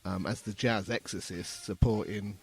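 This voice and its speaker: A man's voice: lowish voice, fairly even intonation, moderate pace, creaky, husky. A man in his fifties with a Birmingham accent, telling anecdotes casually as an interview guest. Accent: Birmingham (Brummie). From Birmingham, England. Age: 50s.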